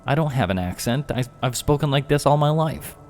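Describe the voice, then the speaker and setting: A man's voice: mid-range voice, animated, a little fast, a little mellow, faint music behind, voice pushed up, natural voice. A man voicing Jeff, a bewildered, exasperated detective in a storybook reading. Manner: baffled, indignant.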